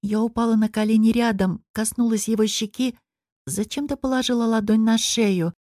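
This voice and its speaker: A woman reading first-person fiction as an expressive audiobook narrator. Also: fairly high pitch, moderate pace, no echo, clean studio sound.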